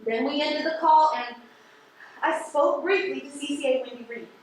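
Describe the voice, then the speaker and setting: Stage drama supervisor female actor: loyal, cornered, earnest and halting, extremely high voice, distant noisy recording. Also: very echoey, close to an everyday voice. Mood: guarded. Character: defensive, loyal postal supervisor under interrogation. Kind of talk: stage play acting. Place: theater stage.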